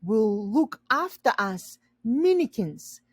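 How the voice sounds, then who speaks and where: A woman's voice: a little high, very animated, takes it slowly, husky, no room sound, pitched above the performer's own voice, heavily acted. Woman playing a dramatic storybook father alchemist. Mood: indignant. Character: exasperated father alchemist, grand and excitable.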